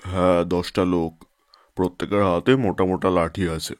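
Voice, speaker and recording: A man playing a crude, coolly sinister gangster boss in an audio drama, sneering and unhurried. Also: low-pitched, level tone, no echo, studio quality, lightly acted. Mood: sly, assured.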